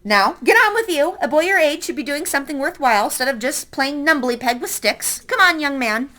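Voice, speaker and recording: A woman's voice: sits high, animated, pushed and bright, voice pushed up. A woman voicing a nosy, bossy little old woman who orders a boy around while she reads a story aloud. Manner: brisk, commanding, nosy.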